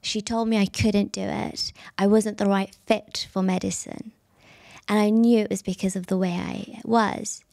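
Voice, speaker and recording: A woman's voice: mid-range voice, normal speed, creaky, no room sound, clean studio sound. A woman, telling stories in a casual interview with lots of fillers. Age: 30s. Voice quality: soft voice. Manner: animated storyteller, frequent fillers and laughs. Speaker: guest.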